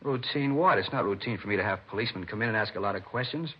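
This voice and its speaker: Talkative american guy grilled by cops, lowish husky voice, touchy, old muffled radio sound. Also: animated, fast. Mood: defensive, indignant. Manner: conversational, indignant when pressed. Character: defensive, talkative male civilian under police questioning. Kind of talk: 1950s radio drama acting. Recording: dull vintage radio recording.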